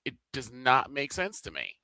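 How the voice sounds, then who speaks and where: A man giving casual baseball color commentary, relaxed and repeating himself as he searches for words. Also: medium pitch, moderate pace, vocal fry, no echo.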